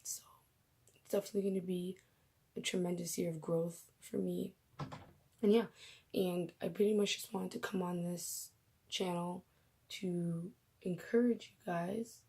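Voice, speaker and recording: A young woman vlogging from her bedroom while doing her makeup, chatty and rambling, her voice raspy as she comes down with a cold. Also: lowish voice, fairly even intonation, unhurried, pauses often, warm tone. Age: young adult. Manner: chatty, rambling, laughs at herself. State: coming down with a cold. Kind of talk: casual faith vlog.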